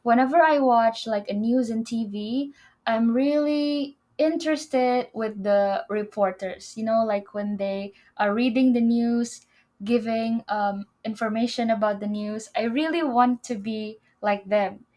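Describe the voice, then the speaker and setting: A woman's voice: a little high, a little slow, darkish tone. A young woman from Indonesia co-hosting a student podcast, speaking English as an in an earnest, slightly way. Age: young adult. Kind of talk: casual scripted chat.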